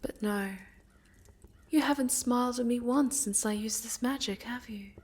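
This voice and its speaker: A woman playing a haughty, sinister necromancer villainess in a soft-spoken ASMR roleplay, silky, condescending and mockingly sweet, often sinking to a whisper. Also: on the high side, breathy edge. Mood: cold, suspicious. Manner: condescending, silky, menacing, drawn-out.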